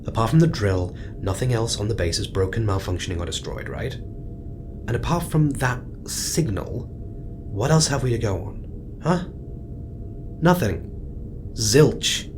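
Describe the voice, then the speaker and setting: Male actor as astronaut commander: deep, measured, strained, steadying a crew. Sci-fi audio drama, noisy rumbling background. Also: expressive. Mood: calming, uneasy. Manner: measured, hesitant, reassuring with clipped orders. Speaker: mission commander giving orders.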